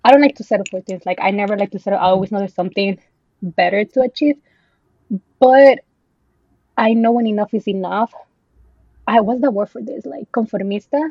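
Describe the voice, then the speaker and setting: A woman's voice: mid-range voice, a little fast, pauses often, a little mellow, no echo, dull audio. A woman in her twenties from El Salvador, a podcast guest chatting casually with many ums and bits of Spanish mixed in.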